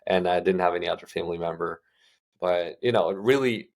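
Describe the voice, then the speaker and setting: Young male guest, from Uzbekistan, deep and gravelly, relaxed rambling answers. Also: fairly quick. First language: Russian. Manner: loose, filler-heavy, many restarts. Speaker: guest entrepreneur. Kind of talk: casual interview answers.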